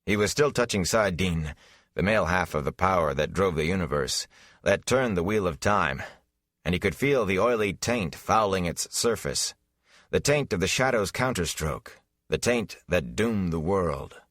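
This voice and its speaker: Low-voiced man narrating fantasy, dramatically. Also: expressive, fairly bright tone, dry recording, clean studio sound. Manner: solemn, measured, dramatic. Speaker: audiobook narrator.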